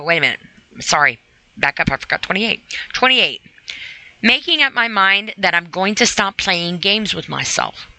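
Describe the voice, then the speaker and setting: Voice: mid-range voice, sharp tone, low-quality recording. A podcast host reading a self-help list aloud with informal commentary, blunt, repeating phrases for emphasis and putting rhetorical questions straight to the listener.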